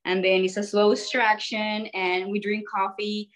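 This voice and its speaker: A woman from Colombia, speaking, gives a relaxed presentation on a video call with many small fillers. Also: mid-range voice, measured pace. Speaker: guest speaker, coffee roaster.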